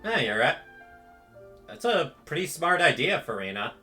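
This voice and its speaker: A woman's voice: low voice, sing-song, moderate pace, pushed and bright, soft background music. A woman game streamer giving casual, informal, exclamatory reactions as she plays. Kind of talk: casual live reaction commentary.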